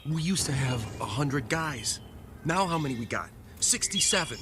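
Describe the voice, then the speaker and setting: An actor playing a frightened young soldier in a radio drama, talking in an anxious, rambling way. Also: male voice, on the high side, lively intonation, moderate pace, noisy background, close to an everyday voice. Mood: panicky. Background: music score.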